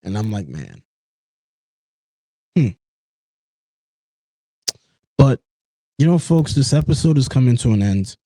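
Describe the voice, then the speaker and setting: Man in his late thirties, earnest podcast host, warm mellow voice, dry close sound. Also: medium pitch, very animated, moderate pace, no room sound. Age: 30s. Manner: earnest, personal, with frequent "you know" and "folks". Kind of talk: reflective solo podcast talk.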